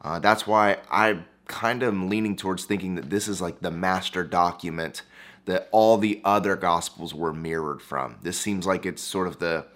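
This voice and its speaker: A young man hosting his own channel, talking through a topic in a relaxed, conversational way with a hoarse, froggy voice and plenty of "uh"s. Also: deep voice, moderate pace. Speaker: YouTube and podcast host. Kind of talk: casual explainer monologue.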